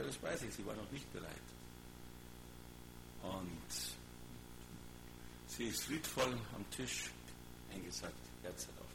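Male preacher, middle-aged, wide swings in pitch, colloquial and exhorting, noisy humming recording. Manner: colloquial, exhorting, rhetorical questions. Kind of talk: sermon with scripture readings. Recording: clearly noisy recording with hum.

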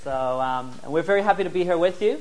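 A young man in his twenties from New Zealand, preaching to a church in short, friendly phrases and stopping after each one for his interpreter. His voice sits a little higher than most men's. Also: animated, a little mellow, poor audio. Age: 20s. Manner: friendly, speaks in short phrases with pauses for translation. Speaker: evangelist preacher. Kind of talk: sermon given through an interpreter.

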